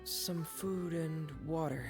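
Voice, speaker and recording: A shy young teenage boy in a video game, talks slowly in a low, gravelly murmur. He is halting and earnest, as if keeping something back. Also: music in the background. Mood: evasive, nervous. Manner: hesitant, halting.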